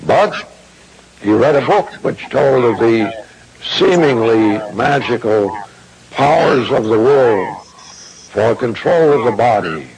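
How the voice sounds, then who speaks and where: A man delivering a formal esoteric lecture in a solemn, deliberate, grandiloquent manner. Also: medium pitch, lively intonation, gravelly, crowd noise, poor audio.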